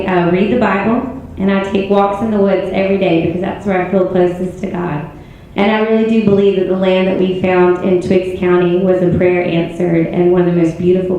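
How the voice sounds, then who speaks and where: Hoarse Southern woman in an echoey hall. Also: fairly low pitch, dark tone, slight background noise. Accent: Southern American. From Georgia, US. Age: 40s. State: hoarse, strained voice. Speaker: political candidate. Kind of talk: campaign speech at a forum. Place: city auditorium. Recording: echoey hall, muffled sound.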